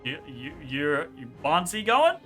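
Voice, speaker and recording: A man running a tabletop game as game master, casual and animated, swearing freely and trailing off with filler words. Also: on the high side, moderate pace, soft background music. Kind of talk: narrating a tabletop game online.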